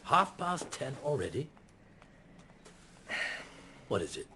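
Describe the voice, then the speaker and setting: An actor playing a middle-aged detective on holiday in a radio drama, mild and drily amused, musing hesitantly in understatement. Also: male voice, medium pitch, very animated, slow pace, sharp tone, slight background noise, exaggerated voice. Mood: contented, mild.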